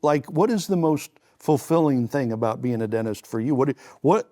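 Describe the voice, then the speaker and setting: A man's voice: medium pitch, lively intonation, normal speed, mellow. A man hosting a local talk show, asking questions in a friendly, encouraging way with frequent fillers. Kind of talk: casual interview.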